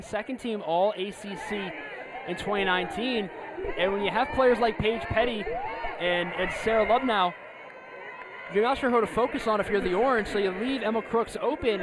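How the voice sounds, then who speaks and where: An American man calling live lacrosse play-by-play in clipped present-tense phrases. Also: sits high. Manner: clipped present-tense calls, rising to shouts on goals. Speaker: play-by-play announcer. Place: outdoor sports stadium. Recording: noisy outdoor stadium with loud crowd. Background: loud crowd and outdoor noise.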